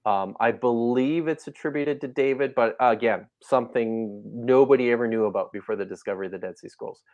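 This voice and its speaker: A man's voice: medium pitch, brisk, darkish tone, no echo, highs cut off. A man talking as a guest scholar in a casual interview, thoughtful and hesitant, with many fillers and restarts.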